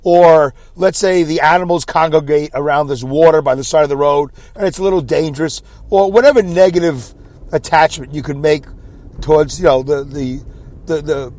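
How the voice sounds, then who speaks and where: A man hosting his own podcast with a scratchy voice, rambling in a self-deprecating way and clearing his throat often. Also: on the high side, moderate pace, a little mellow. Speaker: podcast host. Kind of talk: solo rambling podcast monologue. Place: inside a car.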